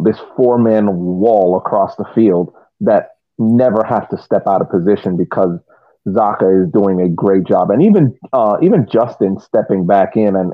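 A man co-hosting a soccer podcast, explaining tactics casually in measured phrases over what sounds like a remote call. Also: lowish voice, no echo. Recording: dry, tinny phone-like line.

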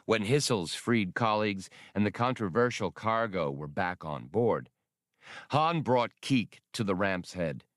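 A man's voice: on the low side, animated, a little slow, a bit bright, no echo, studio quality. A man reading adventure fiction aloud as an audiobook narrator, in a dramatic scripted style. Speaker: audiobook reader.

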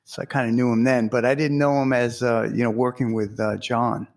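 A man in a band thinks back on how members met, speaking reflectively with plenty of fillers. His voice sits at a middle pitch. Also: flat delivery, brisk, darkish tone. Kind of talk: casual interview talk.

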